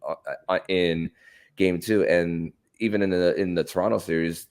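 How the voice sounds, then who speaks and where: A man with a low voice hosts a sports podcast in a conversational way. His delivery is halting, and he often repeats words and restarts as he frames things for his guest. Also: darkish tone.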